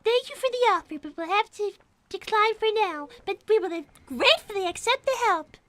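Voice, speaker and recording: A woman's voice: very high voice, animated, moderate pace, a bit bright, a little hiss or hum. A woman voicing a naive young girl rabbit who speaks formally, earnestly and theatrically in an improvised comedy roleplay. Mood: matter-of-fact. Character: Cream, prim naive young girl rabbit, dramatically indignant.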